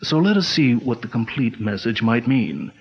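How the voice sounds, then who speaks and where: A man playing a calm, commanding male pulp detective hero, in a measured, authoritative 1940s radio style. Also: mid-range voice, lightly acted. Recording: dull lo-fi old-radio sound.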